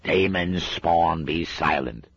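A man voicing a cantankerous old witchfinder sergeant with a gravelly, scolding delivery. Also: extremely low pitch, takes it slowly, a bit bright, muffled recording, pitched above the performer's own voice, exaggerated voice. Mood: fierce. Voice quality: gravelly, growling. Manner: fierce and scolding.

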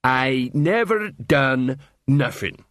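A man voicing a dim, sullen troll in an audiobook, growling slowly in broken grammar. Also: mid-range voice, animated, a bit bright, no room sound, studio quality. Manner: slow, ungrammatical speech. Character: dim, sullen, suspicious hulking male troll, protesting innocence.

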